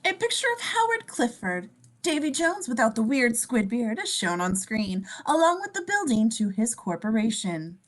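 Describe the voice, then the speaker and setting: A woman's voice: on the high side, sing-song, moderate pace. A woman reading scene descriptions in a playful, slangy way, mixing scripted narration with casual joking asides.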